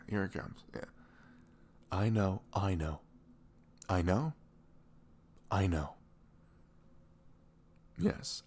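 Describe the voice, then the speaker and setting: A man playing a sweet, caring boyfriend in an intimate ASMR roleplay, rambling casually and playfully with breathy asides that drop into whispers. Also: low-pitched, darkish tone, slight background noise. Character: sweet, caring boyfriend chatting the morning after. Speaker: audio roleplay performer.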